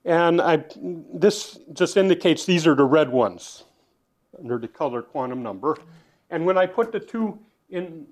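A man giving a university physics lecture, thinking aloud as he writes, with fillers, restarts and swings in loudness. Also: a little high, expressive, measured pace. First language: not Dutch.

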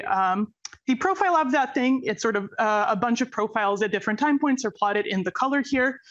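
A young woman from Serbia presents her research in an academic talk, her voice sitting a little high. She speaks with nerdy, playful humor and plenty of ums and self-corrections. The audio is a bit dull and lo-fi. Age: young adult. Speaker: postdoc researcher giving a talk.

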